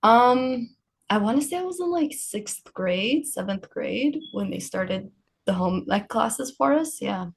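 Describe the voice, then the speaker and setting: A woman's voice: a little high, lively intonation, unhurried, gravelly, husky. A woman from Palestine speaking as a podcast guest, telling personal stories in a casual, informal way. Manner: informal, frequent laughter. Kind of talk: casual conversational storytelling.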